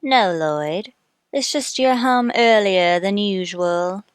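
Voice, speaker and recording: An actress playing a cold, sardonic, embittered wife out for money in an old radio mystery drama. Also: female voice, mid-range voice, takes it slowly, smooth, no echo, clean studio sound, close to an everyday voice. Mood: icy sarcasm.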